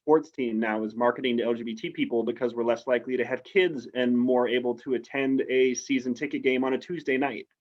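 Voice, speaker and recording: A man's voice: mid-range voice, fairly even intonation, fairly quick, few pauses. A man from the United States, a guest in a casual interview, speaking in an upbeat, persuasive way over a video call. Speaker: interview guest, outdoor adventurer. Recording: slightly dull, lo-fi audio.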